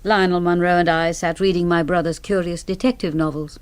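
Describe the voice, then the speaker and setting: A woman playing a composed, dry-witted doctor and amateur sleuth in a radio mystery, speaking in a measured, articulate, wry way. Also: fairly low pitch, fairly even intonation, clean tone, natural voice. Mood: narrating. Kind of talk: British radio mystery drama acting.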